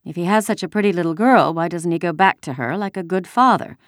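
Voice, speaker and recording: A woman voicing an anxious but gently firm wartime mother in a storybook, with a worried manner. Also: lowish voice, fast, no room sound, clean studio sound, pitched above the performer's own voice, natural voice. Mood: murmuring. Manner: worried, murmuring.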